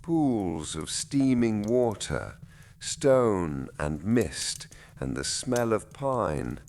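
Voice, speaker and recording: Slow, low-voiced man narrating a bedtime story. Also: big pitch swings, a little mellow.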